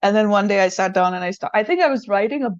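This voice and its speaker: A woman from India speaks, her Hindi. She talks earnestly and thoughtfully in an interview, reworking her phrasing as she goes. Her voice sits at a middle pitch and sounds dull and dry, as if recorded lo-fi. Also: expressive, quick pace, dry recording. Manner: reflective, earnest, rephrasing as she thinks. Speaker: self-leadership coach, guest. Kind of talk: conversational interview.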